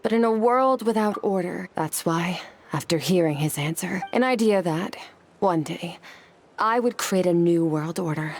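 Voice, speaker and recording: A woman's voice: on the low side, lively intonation, measured pace, a little hiss or hum. A woman voicing an aloof, burdened warrior, proud and brooding, in a video game drama. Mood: reflective, resolved. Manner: cool, stoic, brooding.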